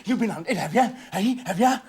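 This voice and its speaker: An actor playing a desperate, volatile gunman who snarls and shouts, and stammers when scared. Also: high-pitched, lively intonation, brisk. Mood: snarling, hostile. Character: cornered desperate male gunman, volatile and hunted.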